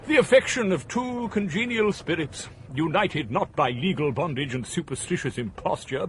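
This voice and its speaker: An actor playing a misanthropic gentleman philosopher in a period radio drama, declaiming with a British accent in vehement, grandiloquent rhetoric. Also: high voice, a little hiss or hum, poor audio. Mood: indignant.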